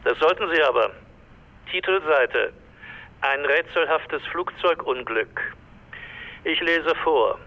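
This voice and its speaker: An actor playing an insistent caller reading from a newspaper over the telephone. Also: male voice, on the high side, slow, long pauses, bright, forceful tone. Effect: telephone filter. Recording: tinny phone line.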